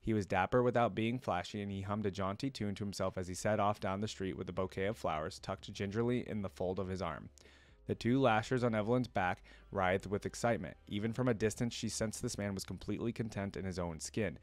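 A man's voice: fairly low pitch, brisk, no echo. A young adult man reading a story aloud and adding casual commentary as a reaction video host. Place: indoor room at a computer desk.

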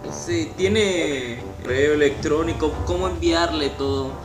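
A man's voice: fairly high pitch, measured pace, over music. Teenage male in an echoey room. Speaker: YouTuber making a prank call. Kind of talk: improvised prank phone call, posing as a prize agent. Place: small room at home, indoors. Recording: roomy echo.

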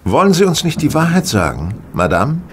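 An actor playing a shrewd, confident gentleman detective in a radio play, courtly, ironic and pointed. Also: medium pitch, wildly swooping pitch, brisk, slight background noise. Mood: probing. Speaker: actor in an audio drama. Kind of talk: German radio play dialogue.

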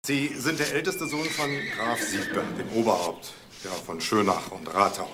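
A man's voice: on the low side, very animated, normal speed, very noisy. A man playing a direct, matter-of-fact police detective in a crime drama, questioning bluntly. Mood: probing. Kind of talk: German TV crime drama acting.

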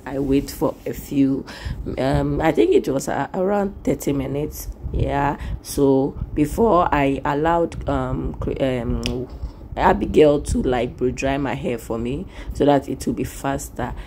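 Hoarse young woman, low and mellow, gravelly, casual phone-vlog chatter with faint noise behind. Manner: chatty, laughs often, acts out friends' lines. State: hoarse, lost voice. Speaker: vlogger. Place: hair salon, indoors. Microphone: phone held at arm's length.

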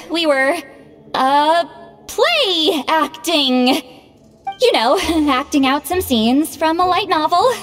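Haughty, high-voiced shaman lady. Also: big-room sound, full character voice. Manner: theatrical, scolding, flustered. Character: haughty, scolding young-sounding granny shaman, easily flustered. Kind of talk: video game voice acting.

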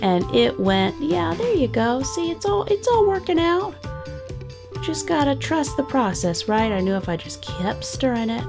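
A middle-aged woman talks through her day in a chatty, upbeat way, laughing easily as she narrates. Her voice has a gravelly, fry-tinged edge. Guitar music plays clearly behind her. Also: high-pitched, sing-song, a little slow. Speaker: family vlogger. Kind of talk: cozy voice-over narration of a cooking and cleaning vlog. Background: guitar background music.

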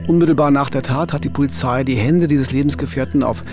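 A middle-aged man presenting a true-crime case in a deliberate, emphatic, conversational narration on a studio microphone. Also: medium pitch, music in the background. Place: indoor radio studio. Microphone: studio boom microphone.

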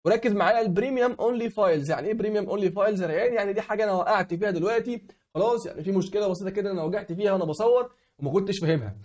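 A young Egyptian man with an Egyptian Arabic accent and a voice hoarse from a cold walks through a website in a screen-recorded tutorial. He keeps checking in with the viewer, asking "okay?". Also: sits high, rapid-fire, darkish tone. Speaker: online tutorial host.

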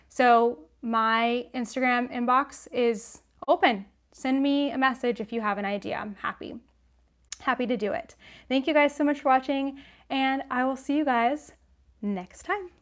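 A young woman hosting a casual livestream Q&A, chatty and encouraging, with a sniffly nose, on a lapel mic in a small room at home. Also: on the high side. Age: young adult. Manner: chatty, encouraging, many ums and likes. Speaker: knitting channel host.

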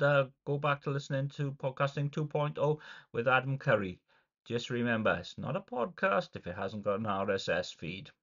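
A man's voice: medium pitch, gravelly, fairly bright tone, lo-fi sound. A middle-aged man with a cold, sounding congested, chatting in a rambling, wry video diary from a dim room at his desk. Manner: rambling, wry and self-deprecating, with sighs and fillers. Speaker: vlogger.

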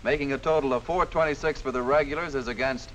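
Male game show host, brisk and jokey, studio set, faint hiss. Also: medium pitch, fairly even intonation, fairly bright tone, poor audio. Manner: brisk, jokey, announcing scores. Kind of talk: lively game show hosting.

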